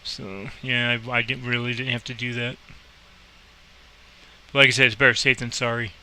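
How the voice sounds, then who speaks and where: Male game tutorial host, informal and rambling. Crisp, very forward tone, little melodic movement, switches into controller phrasing. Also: mid-range voice, fairly even intonation, normal speed, bright, forceful tone, rough recording. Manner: informal, rambling, thinking aloud. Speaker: host explaining a game and playing air traffic controller. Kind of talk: casual screen-recorded game tutorial with radio calls.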